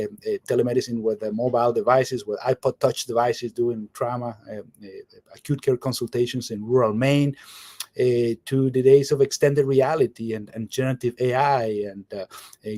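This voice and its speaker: Halting Venezuelan-born surgeon, mellow voice. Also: male voice, medium pitch, no room sound. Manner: halting, many fillers and repeated words. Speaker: general surgeon, guest. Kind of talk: casual video call interview.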